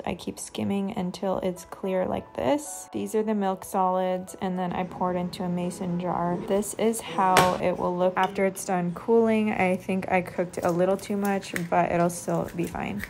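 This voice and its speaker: A woman's voice: on the low side, level tone, normal speed, creaky, few pauses, darkish tone, slight background noise. A young woman narrating her cooking in a casual, matter-of-fact way, explaining each step as she goes. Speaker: lifestyle vlogger. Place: home kitchen.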